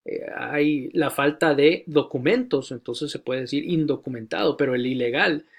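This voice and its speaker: Male lecturer, slightly high voice, deliberate classroom delivery with hesitant fillers. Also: gravelly. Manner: measured, repeats key phrases, many hesitations. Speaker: teacher of church leaders. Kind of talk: recorded lecture.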